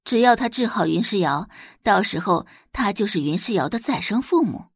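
A woman's voice: medium pitch, animated, normal speed, slightly rough, no room sound, studio quality. A woman narrating a novel as an audiobook, in a dramatic scripted storytelling style.

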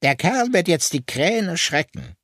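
A man voicing a fussy, mocking little bearded man with a sharp, melodious voice in a storybook reading. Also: high voice, sing-song, normal speed, no echo, clean studio sound, pitched above the performer's own voice, exaggerated voice. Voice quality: sharp, sing-song. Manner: words spaced apart, faintly mocking.

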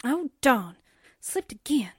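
A high-pitched, plucky newspaperwoman sidekick, slangy and jittery. She blusters and wisecracks in old radio drama style, recorded close and dry. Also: very animated, slow, fairly bright tone, breathy edge, no room sound, full character voice. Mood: exasperated. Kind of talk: 1940s radio drama acting.

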